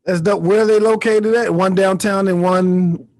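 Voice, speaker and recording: A man's voice: high-pitched, steady tone, normal speed, a crowd in the background. A man hosting a podcast in casual chat, very informal and slangy, swearing freely. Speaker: podcast host.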